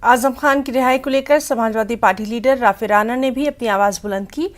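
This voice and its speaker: A woman reading scripted news as an anchor in a formal, measured delivery. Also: a little high.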